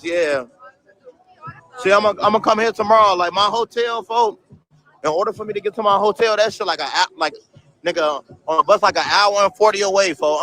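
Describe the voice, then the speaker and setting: A man's voice: high voice, bright, forceful tone, poor audio. A young man livestreaming from a city street, chatting loosely with his viewers in heavy slang and swearing, reading comments aloud and laughing at them.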